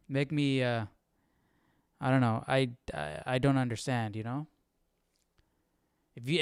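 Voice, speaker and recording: A man's voice: mid-range voice, clear, resonant tone, no room sound, clean studio sound. A man hosting a casual Bible study, thinking aloud in a hesitant, questioning way and often checking in with the listener. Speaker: Bible study livestream host.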